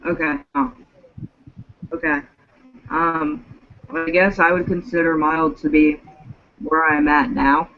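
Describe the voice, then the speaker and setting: A woman's voice: deep voice, takes it slowly, long pauses. A woman in her twenties, a guest in a casual group chat, speaking hesitantly with frequent ums and restarts. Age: 20s. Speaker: panel guest. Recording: dull lo-fi sound.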